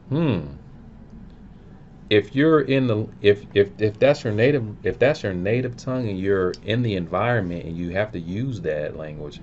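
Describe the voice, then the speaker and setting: A man with a deep, low-pitched voice chats loosely and informally, working out his answers to viewers as he talks. The recording is dull and lo-fi. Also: a little mellow, a little hiss or hum. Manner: easygoing, thinks aloud, loose and informal. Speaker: language-learning channel host answering viewer questions. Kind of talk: casual unscripted chat. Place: filming himself on camera at home.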